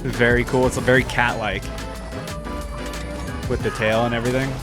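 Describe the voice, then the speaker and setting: A man's voice: mid-range voice, level tone, normal speed, over music. A man hosting a reaction video, talking casually and informally with frequent exclamations.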